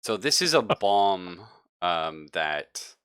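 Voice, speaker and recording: Deep, bright-voiced man running a tabletop game. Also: very animated, smooth. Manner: halting, informal, thinks aloud. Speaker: game master. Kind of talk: casual improvised narration of a tabletop game.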